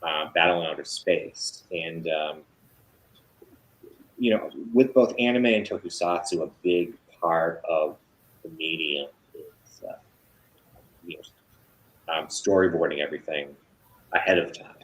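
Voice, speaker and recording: A man talking as an author guest in a casual interview, halting with many fillers and restarts. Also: sits low, rough recording.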